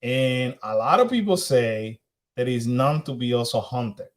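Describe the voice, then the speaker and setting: A man's voice: mid-range voice, lively intonation, a little slow. A man co-hosting a casual livestream chat, repeating and restarting his phrases. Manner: repetitive, restarts often. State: hoarse after losing voice. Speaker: co-host.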